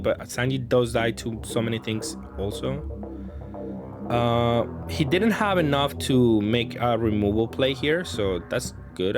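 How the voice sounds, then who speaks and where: A man giving casual, improvised commentary on his own card game, thinking aloud hesitantly and often trailing off. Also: mid-range voice. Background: background music.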